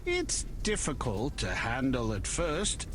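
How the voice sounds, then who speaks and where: A man voicing a chatty, obliging weapons merchant from the musket era in a video game, talking in unhurried salesman patter. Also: mid-range voice, huge pitch swings, background noise. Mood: helpful, musing. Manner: unhurried, conversational salesman patter with dramatic pauses. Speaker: game shopkeeper character.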